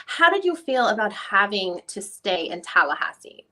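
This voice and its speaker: A woman reading the part of a lawyer who questions a witness in a formal deposition, with halting, probing questions. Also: mid-range voice, very animated, pushed and bright. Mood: questioning. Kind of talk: dramatic reading of a deposition transcript.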